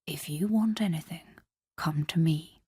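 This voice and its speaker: Woman acting a sly, coaxing older gentleman. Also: sits low, measured pace, husky, dry recording, studio quality, voice pushed down, exaggerated voice. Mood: conspiratorial.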